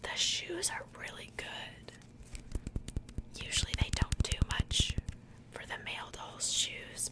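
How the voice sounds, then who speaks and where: A woman whispering softly and breathily in a casual, gentle ASMR style, chatting conversationally close to the microphone. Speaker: host of an ASMR doll unboxing channel.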